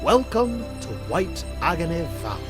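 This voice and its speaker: A man's voice: on the high side, very animated, normal speed, music in the background. A man voicing a tough, proud young cartoon duck prospector in a fan comic dub, blunt and boastful. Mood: wistful.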